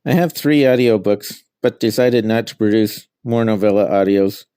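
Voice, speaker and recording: Middle aged guy author answering interview questions, slightly low voice, no room echo at all. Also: moderate pace, mellow, smooth, clean studio sound.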